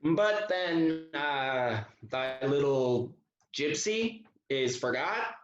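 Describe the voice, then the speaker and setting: An actor playing a wry, sardonic young English gentleman soldier in a Restoration comedy, declaiming with dry mockery. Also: a little high, lively intonation, very slow, reverberant, highs cut off, slight background noise. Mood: mocking. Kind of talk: Restoration comedy stage acting.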